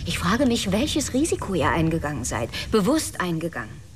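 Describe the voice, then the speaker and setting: Low voiced sceptical investigator woman, dry and probing, radio drama, noisy ambience behind. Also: sing-song, normal speed. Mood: dry, confrontational. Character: probing, sceptical female investigator, dryly pointed. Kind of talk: naturalistic radio drama acting.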